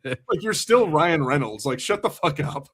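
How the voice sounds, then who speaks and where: A man's voice: on the high side, expressive, moderate pace, a bit bright, breathy edge. A man co-hosting a podcast, chatting casually about films in a blunt, rambling, sweary way. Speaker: podcast co-host. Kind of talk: casual film banter.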